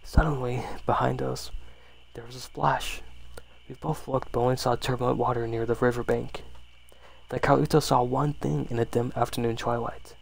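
Male ASMR horror narrator, soft and unhurried, noticeably creaky voice, fairly even pitch. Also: a little hiss or hum. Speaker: storyteller. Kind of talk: ASMR bedtime horror story reading.

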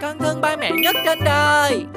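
A woman's voice: sits high, steady tone, normal speed, loud music behind the voice, close to an everyday voice. A woman voicing a sulky, whiny young boy. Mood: boyish. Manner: whiny, pleading.

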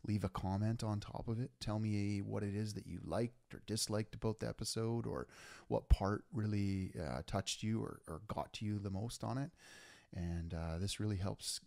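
Low gravelly guy hosting his podcast, eager, dry close mic. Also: normal speed, a little mellow, no echo. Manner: earnest, enthusiastic, many fillers and restarts. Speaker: podcast host. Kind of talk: casual podcast intro and interview.

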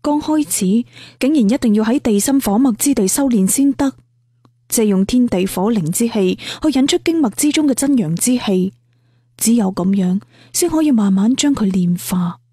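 A woman's voice: on the high side, expressive, moderate pace, dark tone, husky, no echo, studio quality. A woman with a Cantonese accent reading out an audio story.

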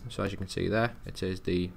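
A man with a low, creaky voice presents a technical how-to. He talks informally and matter-of-factly, guiding the listener step by step as a support technician would. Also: steady tone, a little fast, slight background noise. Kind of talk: casual step-by-step software tutorial narration.

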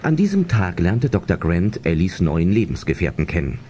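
A man's voice: low voice, big pitch swings, moderate pace, a little hiss or hum. A man narrating a suspenseful adventure audio drama in scripted storyteller style. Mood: suspenseful storytelling. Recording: loud music under narration.

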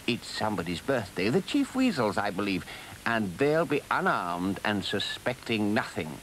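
Older male badger, acted by a man, storybook: grave, commanding, very expressive intonation, slight hiss. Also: fairly low pitch, moderate pace, rough recording. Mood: plotting, confident. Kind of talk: animal storybook character voice.

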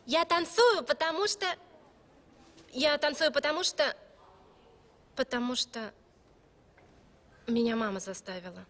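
A woman playing a haughty, spiteful young ballerina rival in a dubbed animated film. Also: high-pitched, a little slow, long pauses, big-room sound. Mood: flustered. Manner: haughty, sneering. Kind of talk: animated film dub acting.

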